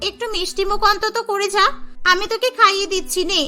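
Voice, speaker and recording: A woman's voice: extremely high pitch, fairly even intonation, quick pace, bright, forceful tone. A woman voicing a boastful rich young woman who dotes on her brother, in melodramatic, emphatic cartoon style with music behind. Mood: fussing, pleading. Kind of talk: melodramatic cartoon story acting.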